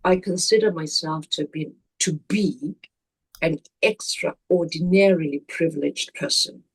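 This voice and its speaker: Older woman, deliberate reflective guest with a breathy edge. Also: deep voice, poor audio. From Zambia. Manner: slow, deliberate, with pauses and restarts. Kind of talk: reflective interview answer.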